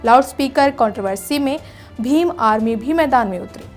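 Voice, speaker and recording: A woman's voice: high-pitched, expressive, moderate pace. A woman presenting a scripted news report in an earnest, rhetorical manner. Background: background music.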